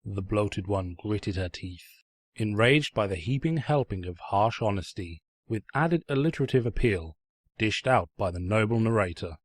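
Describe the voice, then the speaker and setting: Casting: male story narrator, raspy, mock-pompous and sardonic, very dry close recording. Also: lowish voice, lively intonation, moderate pace, dry recording. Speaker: narrator, fan fiction reader. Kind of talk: theatrical scripted story narration.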